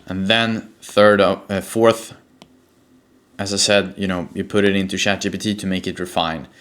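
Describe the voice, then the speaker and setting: A Swedish man with a low voice and a Swedish accent speaks as a coach presenting to viewers. He explains in an informal way, repeating and stressing the points that matter. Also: little pitch movement, moderate pace, a bit bright. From Sweden. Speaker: presenter, personal branding coach for real estate agents. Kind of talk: explanatory talk to camera.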